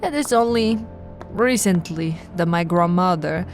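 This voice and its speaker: An imperious vampire lady, acted by a woman for an ASMR roleplay, speaks slowly in a lowish voice. She is possessive and condescending, theatrical in her phrasing, and often sinks into a breathy whisper. Soft music plays behind her. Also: lightly acted. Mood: imperious, proud. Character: haughty, possessive female immortal vampire aristocrat.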